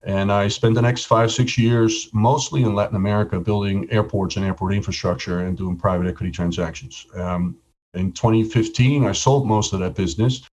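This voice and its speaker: A middle-aged man from the Netherlands, a podcast guest telling his life story in a relaxed way and often repeating words as he starts a sentence. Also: fairly low pitch, a little fast, dull audio. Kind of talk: casual interview storytelling.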